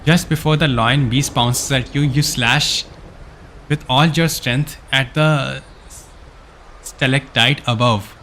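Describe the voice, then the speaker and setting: A man's voice: mid-range voice, steady tone, slow, a bit bright, a little hiss or hum. A man live streaming a game, reading its story text aloud with casual asides, sometimes stumbling over words and correcting himself.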